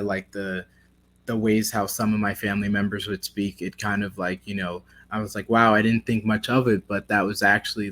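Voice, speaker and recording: A man's voice: on the low side, fairly bright tone. A young man, a guest in a casual interview, talking in a hesitant way with many fillers.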